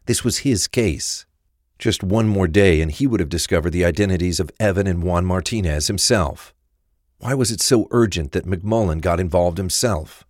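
A man's voice: sits low, moderate pace, a little mellow, dry recording, clean studio sound. A man narrating a crime thriller audio drama from a script.

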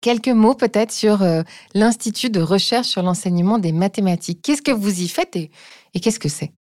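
Calm woman hosting a podcast. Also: mid-range voice, moderate pace, no room sound, studio quality. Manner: calm, even questioning with small hesitations. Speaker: podcast host. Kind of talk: scripted intro and interview questions.

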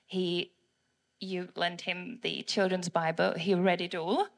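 Nervous Czech-accented woman, gravelly. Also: on the low side, level tone, slow. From Czech Republic. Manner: nervous, giggly, hesitant with many fillers. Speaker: church member giving testimony. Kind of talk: nervous testimony interview. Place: church service on stage. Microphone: handheld microphone.

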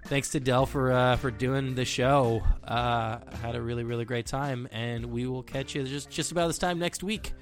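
A man's voice: mid-range voice, steady tone, moderate pace, few pauses. A male podcast host wrapping up an episode alone, chatty and enthusiastic, often repeating words as he talks. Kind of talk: solo podcast outro. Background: music playing.

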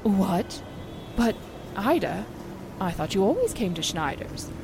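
A woman's voice: mid-range voice, very animated, slow pace, vocal fry, noisy background, full character voice. A woman playing a mild, trusting small-town housewife with a hesitant, earnest manner in a 1940s-style radio drama. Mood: puzzled, protesting.

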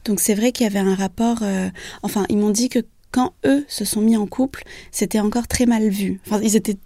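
A woman's voice: mid-range voice, steady tone, a little fast, dark tone, dry recording, clean studio sound. A woman in her twenties from Vietnam, answering interview questions with many hesitations and restarts. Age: 20s. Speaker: author interviewed. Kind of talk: radio interview.

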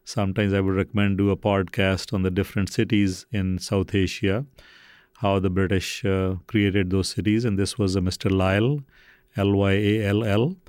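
A man in his seventies from Pakistan, a podcast guest who speaks in a measured, formal way with dry replies. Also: deep voice, flat delivery, darkish tone, smooth, no room sound. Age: 70s. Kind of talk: podcast interview.

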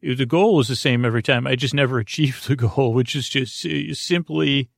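Creaky-voiced man, lulling and meandering. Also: mid-range voice, moderate pace, no room sound, clean studio sound. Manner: lulling, meandering, hesitant with tangents. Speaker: podcast host. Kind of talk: rambling bedtime monologue for sleep.

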